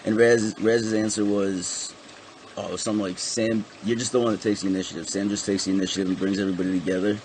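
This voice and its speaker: A man's voice: fairly low pitch, flat delivery, fast talker, a little mellow, slight background noise, low-quality recording. A man interviewing a guest, asking long questions with many ums and restarts.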